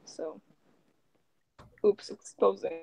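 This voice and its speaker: A young woman talking casually on a live stream through her phone, in a flat monotone. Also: drawn-out words. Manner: flat, self-described monotone, mumbling. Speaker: guest. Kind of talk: casual chat. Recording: dry phone audio.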